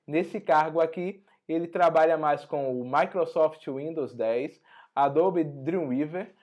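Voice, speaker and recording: Hoarse Brazilian man teaching. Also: on the high side, slow pace, a little mellow, lo-fi sound. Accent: Brazilian Portuguese. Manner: conversational, frequent tag questions. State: hoarse after a flu. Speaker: exam prep teacher. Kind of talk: online lesson walking through an exam notice.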